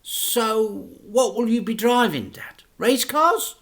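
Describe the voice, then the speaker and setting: A man's voice: high-pitched, slow pace, a bit bright, voice pushed up, full character voice. A man doing an earnest young boy.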